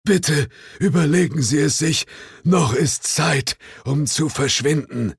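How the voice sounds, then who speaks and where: A man's voice: on the high side, slow, gravelly, husky, dry recording, clean studio sound, pitched above the performer's own voice, heavily acted. A man voicing a gloomy, fearful Greek innkeeper who murmurs grave warnings in an audiobook. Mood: anxious pleading.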